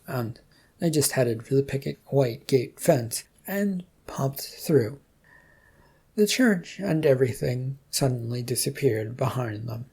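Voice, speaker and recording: A narrator reading a scripted story in a measured storytelling manner. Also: medium pitch, vocal fry, warm tone, husky.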